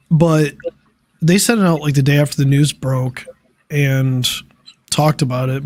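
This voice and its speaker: A man hosting a casual tech podcast, tired and dealing with allergies, talking in a loose, relaxed way. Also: medium pitch. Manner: relaxed, loose, many fillers. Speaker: podcast host. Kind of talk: casual chat.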